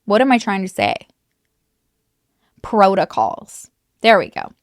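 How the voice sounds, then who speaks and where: A woman's voice: medium pitch, very animated, slow pace, no echo, studio quality. Chatty woman telling a true crime story. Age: adult mother. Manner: chatty, filler-heavy, casual swearing.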